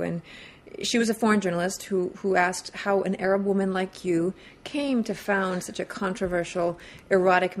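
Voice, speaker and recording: A woman's voice: mid-range voice, darkish tone. A woman hosting a TV news interview, giving a polished introduction and then asking conversational, probing questions in a studio. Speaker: TV interviewer, host.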